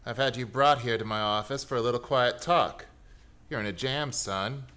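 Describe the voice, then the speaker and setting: An actor playing a stern, paternal police inspector in a radio crime play, measured and authoritative. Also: male voice, medium pitch, bright, forceful tone, smooth, close to an everyday voice. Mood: grave.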